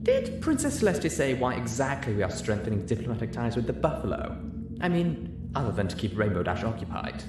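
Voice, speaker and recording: A man's voice: mid-range voice, big pitch swings, a little fast, reverberant, noisy background, lightly acted. A man voicing a refined, dramatic, fashionable female pony with a genteel, affected manner, in a story reading. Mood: concerned, poised.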